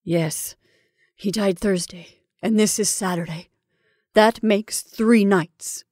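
A woman voicing a rigid, sharp-tongued woman in a story, curt and indignant, holding herself in control. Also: mid-range voice, slow pace, no room sound, clean studio sound.

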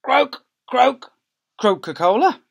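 A man's voice: very high voice, lively intonation, slow pace, creaky, husky. A middle-aged male teacher reading a picture book aloud to young children, playfully stretching key words and making sound effects, recorded on a laptop webcam in a small office. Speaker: school teacher. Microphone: laptop webcam at close range.

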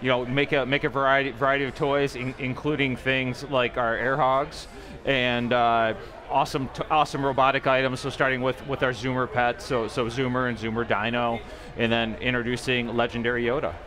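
A man's voice: mid-range voice, little pitch movement, a little fast, a bit bright. A man in his thirties demoing a toy as a company guest at a convention booth, eager, often repeating words as he goes, on a lapel mic. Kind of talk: product demo interview. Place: booth at a busy convention hall.

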